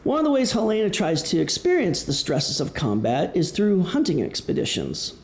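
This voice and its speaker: A man from Wisconsin with a Midwestern American accent giving an explanatory public talk as an author, with deliberate pauses. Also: high voice, wildly swooping pitch. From Wisconsin, United States. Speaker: author presenting his novel. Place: indoor presentation room. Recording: echoey room.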